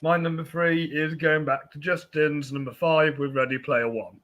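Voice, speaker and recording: A man co-hosting a podcast, chatting casually about films in a halting way that tends to trail off. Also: a little high, fairly even intonation, a bit bright. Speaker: podcast co-host. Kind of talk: casual film chat.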